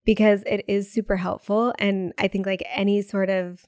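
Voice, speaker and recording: A young woman co-hosting a podcast in casual chat, bubbly and quick with asides, laughing often, sounding a bit sick with a cold. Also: mid-range voice, flat delivery, darkish tone, clean tone, no echo, studio quality. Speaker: podcast co-host.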